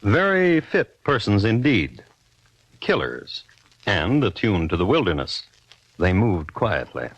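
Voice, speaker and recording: A man narrating in a measured, dramatic manner for a 1950s radio crime drama. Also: fairly low pitch, huge pitch swings, slightly rough, no room sound, muffled recording. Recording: old radio broadcast with music behind.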